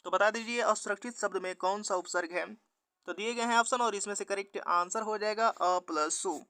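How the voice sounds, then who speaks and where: Bright, high-pitched man teaching quiz questions. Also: dry recording. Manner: methodical, formulaic question-then-answer delivery. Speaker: exam-prep teacher reading quiz questions and answers. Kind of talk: instructional mock-test narration.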